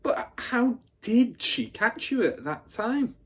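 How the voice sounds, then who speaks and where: A small, eager schoolgirl from a children's storybook, played by a male reader. The pitch jumps well up and the tone is husky and full of breathless wonder. She sounds keen and awestruck. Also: lively intonation, slow, a bit bright, full character voice. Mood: breathless, curious.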